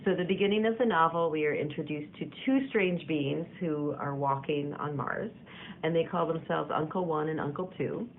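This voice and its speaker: A woman author posing quiz questions to the listener in a relaxed, conversational way. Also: sits low, normal speed. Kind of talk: casual video message. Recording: gravelly phone-like audio with faint hiss.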